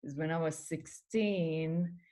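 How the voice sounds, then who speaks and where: Finnish-accented woman, low voice, unhurried, earnest interview guest. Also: steady tone, dark tone. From Finland. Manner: earnest, laughs often, non-native phrasing. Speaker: guest, spiritual mentor. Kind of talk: casual podcast interview.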